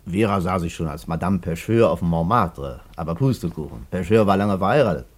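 Lively, emphatic male storyteller. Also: sits low, fairly quick. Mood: wry. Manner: emphatic, wry, colloquial. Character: animated male witness recounting a tangled fraud case. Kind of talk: radio drama storytelling.

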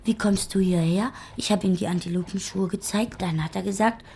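A woman playing a simple-minded, childlike female camp inmate in an audio drama, speaking in short, plain, dreamily matter-of-fact statements with a slightly husky voice. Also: mid-range voice, steady tone, brisk, a little mellow, slight background noise, natural voice. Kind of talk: literary audio drama dialogue.

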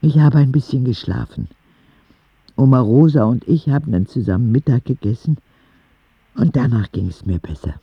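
Candid eager kid telling his story in a radio play, husky, very lively intonation. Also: medium pitch, moderate pace, no room sound, muffled recording, exaggerated voice. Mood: confessional. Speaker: narrator. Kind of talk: dramatic first-person radio narration.

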